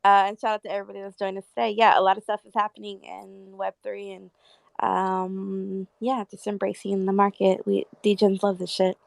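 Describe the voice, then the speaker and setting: A woman's voice: mid-range voice, little pitch movement, brisk, creaky, no room sound. A woman chatting casually in a breezy, slangy way. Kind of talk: casual chat.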